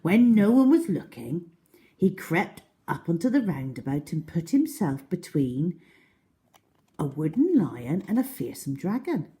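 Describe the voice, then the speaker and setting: A woman reads a storybook to children with plenty of drama. She takes her time and varies her pitch widely, giving a deliberate, expressive narration.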